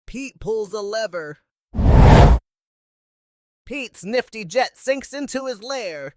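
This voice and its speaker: A woman with a notably high voice narrates a children's picture book. She reads slowly in short, expressive phrases as a storyteller, with a dry, close sound. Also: no echo. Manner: simple, expressive read-aloud delivery. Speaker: read-aloud storyteller.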